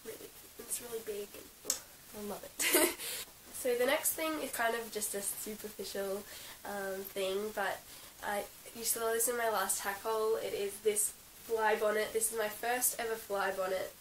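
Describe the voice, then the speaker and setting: A young Australian woman with a croaky, under-the-weather voice chats through her favourite gear, full of giggles, ums and likes. She sits a little back from the mic in an echoey room, with a faint hiss running underneath. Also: mid-range voice, steady tone, moderate pace. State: croaky from being sick. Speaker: equestrian vlogger. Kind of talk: casual show-and-tell vlog. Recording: roomy, slightly distant with hiss.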